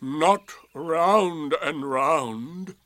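A man's voice: high-pitched, animated, slow pace, fairly bright tone, dry recording, voice pushed up, exaggerated voice. A man voicing a gloomy, sarcastic, aggrieved donkey in a storybook, with ponderous, emphatic delivery.

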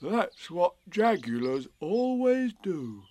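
Storybook bear, male reader: unhurried, drawn-out delivery, placid, simple and matter-of-fact. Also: sits high, big pitch swings, darkish tone, voice pushed up, heavily acted. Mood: knowing. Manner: slow, matter-of-fact explaining. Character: calm, slow-witted male storybook bear, placidly knowing.

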